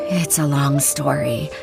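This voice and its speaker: A dutiful young woman in a video game. Her voice is low for a woman, gentle and polite with a worried edge, set over music. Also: creaky, exaggerated voice.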